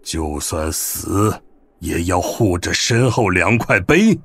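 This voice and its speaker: Old wuxia master, husky, unhurried, gruff, scolding, secretly caring, audio drama. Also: male voice, sits low, lively intonation, a bit bright, exaggerated voice. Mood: scornful.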